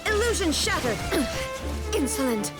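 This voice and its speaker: A woman voicing young female anime fantasy fighters shouting battle lines in a video game. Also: high voice, big pitch swings, measured pace. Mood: battle cries. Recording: game audio under loud music, crashes.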